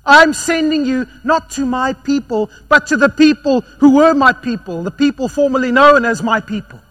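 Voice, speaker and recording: Bright, high-voiced male preacher. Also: moderate pace. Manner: animated, swinging from loud emphatic to quiet and low. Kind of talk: sermon.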